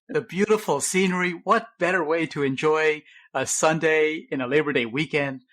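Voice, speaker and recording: A man's voice: a little high, a bit bright. A man hosting a medical podcast, speaking in an upbeat, gushing way. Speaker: podcast host.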